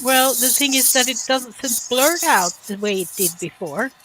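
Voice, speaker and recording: A woman chatting casually, hesitant and often pausing to search for words. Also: on the high side, animated, background noise. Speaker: chat participant. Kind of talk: casual chat.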